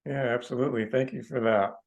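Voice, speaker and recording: A man's voice: medium pitch, steady tone, fast talker, highs cut off. A man on a podcast telling a casual anecdote in a hesitant, rambling, tentative way. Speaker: podcast participant.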